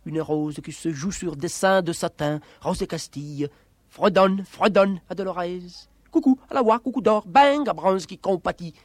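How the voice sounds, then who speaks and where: A man giving a dramatic literary recitation, theatrical in manner, swinging between hushed and emphatic delivery. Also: sits high, a little slow, no echo. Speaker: reader of literary text.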